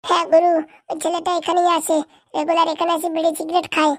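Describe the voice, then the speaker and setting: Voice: very high voice, monotone, fast talker, a bit bright. A performer voicing an eager, fawning young village boy who trails after his boss in a comic cartoon. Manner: deferential, chatty, fawning.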